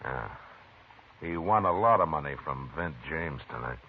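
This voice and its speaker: An actor playing a calm, firm frontier lawman in a 1950s radio drama, measured and deliberate. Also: very deep voice. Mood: steady. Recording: tinny old radio broadcast with hiss.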